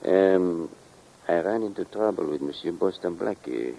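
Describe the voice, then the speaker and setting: An actor playing a sly French thief with a French accent, speaking formal, deliberate broken English with polite menace in a 1940s radio crime drama. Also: male voice, sits low, lively intonation, gravelly, dark tone, slight background noise, low-quality recording. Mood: smooth, scheming. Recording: vintage 1940s radio recording.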